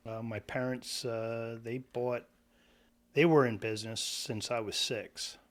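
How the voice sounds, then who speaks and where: A man from Pennsylvania, a podcast guest speaking in a halting way with many fillers. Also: medium pitch, fairly even intonation, a bit bright. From Pennsylvania, USA. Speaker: guest, former hotel owner. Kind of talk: casual interview talk. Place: podcast studio.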